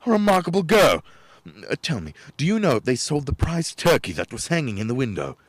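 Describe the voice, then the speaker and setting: An actor playing an excitable old miser in a radio play, theatrical and stammering. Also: male voice, medium pitch, a little fast, no room sound. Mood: giddy joy.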